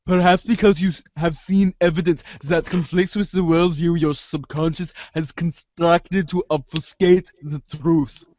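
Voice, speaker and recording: Man playing a pedantic, high-voiced analyst. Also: steady tone, measured pace, few pauses, darkish tone, dry recording, highs cut off, close to an everyday voice. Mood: analytical. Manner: formal, analytical, stumbling over long phrases. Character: pedantic, probing amateur psychoanalyst, unflappable and wordy. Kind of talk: amateur reader's theater acting.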